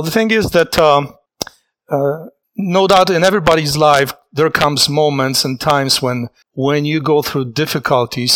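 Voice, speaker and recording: Earnest preacher. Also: male voice, fairly high pitch, fairly bright tone, poor audio. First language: Polish. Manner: earnest, reflective, halting with restarts. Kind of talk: sermon.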